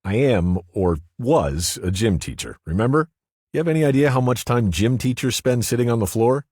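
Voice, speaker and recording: A man voicing a feisty ghostly woman, a former gym teacher, with a teasing, quick, sharp-tongued manner in an audiobook. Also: medium pitch, animated, no echo, clean studio sound, voice pushed up, close to an everyday voice. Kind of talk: audiobook character voice.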